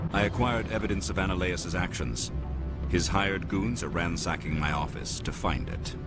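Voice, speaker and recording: An actor playing an old alien businessman in a video game, suave and dryly scheming, with measured formal speech. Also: low voice. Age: older. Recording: music behind the voice.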